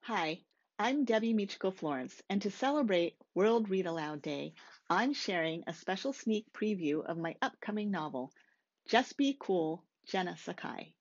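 A middle-aged woman reading a first-person novel aloud in a measured way, recorded indoors on a webcam. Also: mid-range voice, animated. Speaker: author reading from own novel. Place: small room, indoors. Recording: slightly dull webcam audio.

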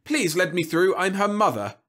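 An exasperated mother from a story, acted by a male reader. The voice is lifted high, with a sharp, snapping, scolding manner. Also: sing-song, normal speed. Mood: angry. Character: angry, exasperated young mother calling her child.

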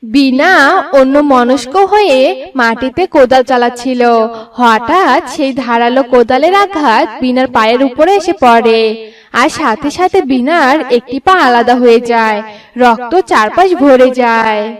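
A woman's voice: high-pitched, lively intonation, a little slow, distant mic. A woman narrating a scripted bedtime story for a cartoon.

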